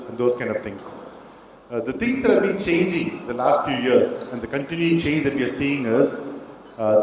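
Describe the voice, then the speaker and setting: A man's voice: medium pitch, darkish tone. A man introducing himself as a panelist at a conference, explaining earnestly with frequent hesitations, on a handheld microphone. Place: large conference hall. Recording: very echoey hall with faint ambient noise.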